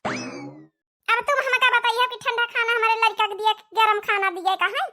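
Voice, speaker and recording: Casting: cartoon young daughter-in-law played by a woman, Awadhi accent, extremely high and piercing, sulky, vain, quick to argue. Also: flat delivery, sharp tone. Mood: indignant, defiant. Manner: argumentative, whining, self-admiring. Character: sulky young village daughter-in-law obsessed with making reels. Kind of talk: comedy cartoon dialogue.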